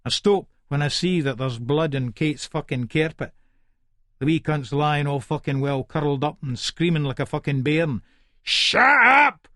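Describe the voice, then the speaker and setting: A man's voice: on the high side, animated, a little slow, bright, forceful tone, no echo, studio quality. An actor with an Edinburgh Scottish accent playing a violent, volatile hard man who narrates an audiobook in the first person, sweary and aggressive. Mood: angry, gruff. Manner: aggressive, menacing, sweary, colloquial.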